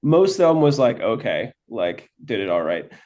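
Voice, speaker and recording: A man's voice: lowish voice, very animated, moderate pace, a little mellow. A man, a band's guitarist, answering interview questions in a laid-back, loose way with casual swearing.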